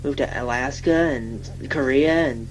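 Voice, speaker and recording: A woman's voice: rumbling low, measured pace, faint music behind, low-quality recording. A woman playing a chatty, self-deprecating young station typist, improvising a rambling, giggly self-introduction in colloquial speech. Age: young adult. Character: chatty, self-deprecating twenty-six-year-old female station typist. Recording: faint hum and noise.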